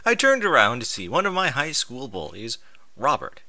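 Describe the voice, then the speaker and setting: A man's voice: mid-range voice, huge pitch swings, normal speed, bright, forceful tone, dry recording. A man reading a story aloud with exasperated, sarcastic, sweary commentary. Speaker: host reading and mocking amateur fan fiction aloud.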